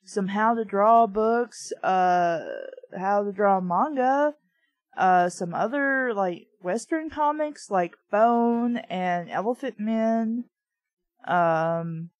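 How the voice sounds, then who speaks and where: A woman's voice: a little high, a little mellow, no room sound. A young adult woman reading aloud and commenting casually, in a blunt, opinionated way. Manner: blunt, opinionated, swears freely. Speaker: host reading a children's book aloud with commentary.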